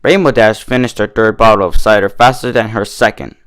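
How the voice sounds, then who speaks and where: A man's voice: lowish voice, fairly even intonation, a little fast. A man reading a comic fan fiction story aloud as its narrator.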